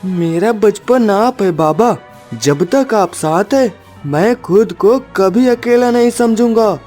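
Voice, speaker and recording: A woman's voice: medium pitch, lively intonation, slow, warm tone. Woman doing a sweet earnest little boy for a cartoon, devoted son, music underneath. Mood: devoted, brave. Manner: earnest, tender. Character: devoted, brave young woodcutter's son.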